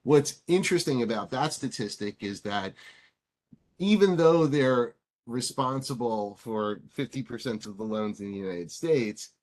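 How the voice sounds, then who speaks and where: A man's voice: medium pitch, lively intonation, measured pace, darkish tone. A man giving a webinar talk on mortgage lending for condos, speaking in a chatty way with frequent fillers and stammered restarts over a video call. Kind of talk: presentation in a web seminar.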